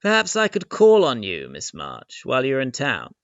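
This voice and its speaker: A man playing an eager, courteous young gentleman suitor in a period audio drama. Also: mid-range voice, wildly swooping pitch, normal speed, smooth, no echo, muffled recording. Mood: cheerful, courteous. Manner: polite, genteel.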